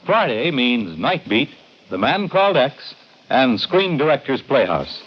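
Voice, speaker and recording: A man's voice: medium pitch, sing-song, measured pace. A man delivering scripted announcements and narration in a vintage radio style. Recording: dull vintage radio recording, music behind. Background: music.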